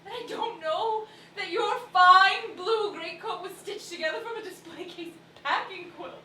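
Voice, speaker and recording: A woman playing a bright, high-voiced spirited daughter. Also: measured pace, exaggerated voice. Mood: defiant. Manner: theatrical, emphatic, teasing. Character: spirited blind daughter, proud, loving, unfooled by kindly lies. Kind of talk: stage play acting. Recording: distant roomy stage recording with hiss.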